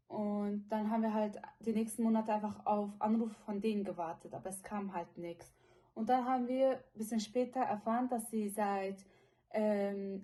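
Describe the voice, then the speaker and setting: A young woman, about eighteen, gives a personal testimony in her own unscripted words. Her voice is mellow and sits at a medium pitch for a woman. She speaks earnestly and informally, often halting to find the next phrase. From from China, living in Germany since childhood. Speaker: witness giving testimony about a detained relative.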